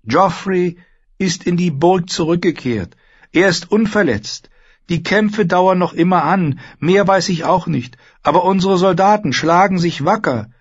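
A man's voice: sits high, measured pace, husky, voice pushed up. A man voicing a brave young noble girl in an audiobook, earnest and reassuring with a raised voice, in a dull recording.

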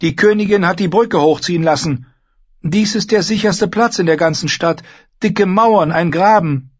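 A brave young noble girl in a fantasy audiobook, acted by a man. She speaks up in a high, earnest, reassuring tone. The recording is a little dull. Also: moderate pace.

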